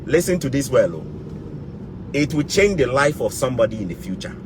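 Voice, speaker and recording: A man's voice: medium pitch, big pitch swings, brisk, background noise. A Ghanaian man giving motivational advice to camera in an emphatic way, repeating key words and phrases for weight. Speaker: YouTube vlogger.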